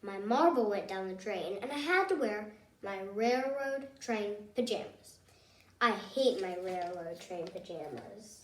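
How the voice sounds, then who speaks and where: A woman reading a children's picture book aloud as a storyteller, expressively and in short, measured phrases. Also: mid-range voice, lively intonation. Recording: roomy echo, distant mic.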